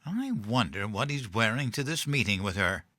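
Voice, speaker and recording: A man acts as a dim but self-important President in an audio comedy. His voice is forceful and swings widely in pitch as he muses in cheerful incomprehension, recorded close and dry. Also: expressive, moderate pace, no echo. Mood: smug, fond. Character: cheerfully oblivious, dim, pompous male US President. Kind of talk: comedic audio drama acting.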